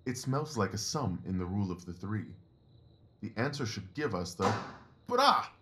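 A brisk, self-assured Victorian detective acted by a man in an audio drama. His voice is on the low side, and he lays out his reasoning precisely, like an instructor. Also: expressive, a bit bright. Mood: keen, instructive. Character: brisk, confident, analytical male Victorian detective.

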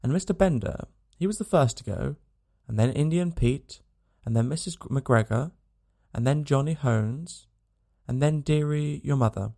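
A parched, dying frontiersman, as a narrator puts him on, weary and gentle, speaking rough frontier vernacular. The voice is gravelly and dry, lifted above the reader's plain tone. Storybook reading. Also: medium pitch, unhurried, voice pushed up.

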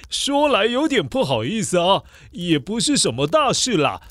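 A man's voice: high-pitched, very animated, a little slow, a bit bright, no echo, full character voice. A man voicing a polite, sheepish father in a children's audio story, chuckling and coaxing as he asks for a favor. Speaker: voice actor.

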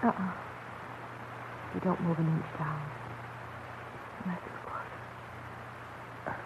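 A woman's voice: deep voice, takes it slowly. An American woman playing a teasing, guarded young artist and sweetheart in a 1940s radio drama. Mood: playful. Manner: teasing, expressive, coy. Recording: noisy, muffled old radio broadcast.

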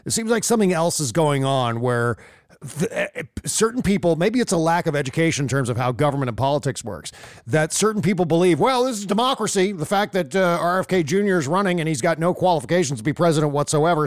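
A man hosts a casual political talk show, his voice a touch higher than most. The sound is dry and close, with no room around it, as he muses aloud in an easy, conversational way. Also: fast talker, no echo. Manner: conversational, musing, easy laughs.

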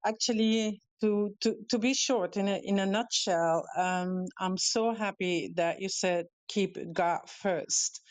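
A woman with a Dutch accent, Dutch her first language, calling in as a guest and speaking haltingly with many fillers and restarts. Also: mid-range voice, steady tone, smooth, no echo. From Europe. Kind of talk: casual talk on a live audio show. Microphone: calling in remotely.

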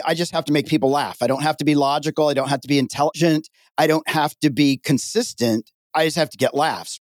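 A man hosting a podcast interview, animated and jokey with a comic's timing. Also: fairly high pitch, no room sound. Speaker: podcast host.

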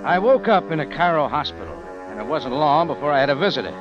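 An actor playing a tough, wry American adventurer with slangy, hard-boiled delivery in a 1950s radio drama. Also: male voice, fairly high pitch, big pitch swings, fast talker, over music. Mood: wry narration. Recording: dull old radio recording.

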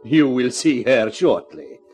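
An actor playing a smooth, menacingly polite gangster with formal, precise phrasing in a 1950s radio crime drama. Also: male voice, medium pitch, animated, takes it slowly, darkish tone, faint music behind. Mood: polite menace. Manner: formal, courteous, precise phrasing with veiled threats.

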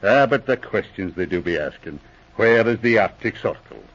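An actor playing a grumbling, sly old police veteran with an Irish accent and a wry, blarneying way of talking in a radio drama. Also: male voice, lowish voice, lively intonation, normal speed, a bit bright. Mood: grumbling, exasperated. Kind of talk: 1940s radio drama acting. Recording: dull vintage radio recording.

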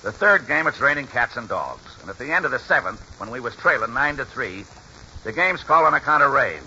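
An actor playing a folksy, exasperated old ball-club trainer in a 1940s radio drama, with slangy, ungrammatical talk. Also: male voice, medium pitch, sing-song, fairly quick, bright, forceful tone, full character voice. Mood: rueful storytelling. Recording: muffled, hissy 1940s radio recording.

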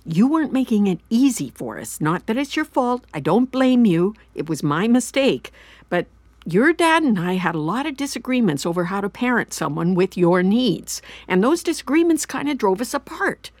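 An actress playing an anxious, regretful middle-aged mother in an audio drama, halting and justifying herself as she confesses. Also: mid-range voice, very animated, dry recording. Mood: regretful, explaining. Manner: halting, self-justifying, repeats and trails off. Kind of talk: naturalistic audio drama acting.

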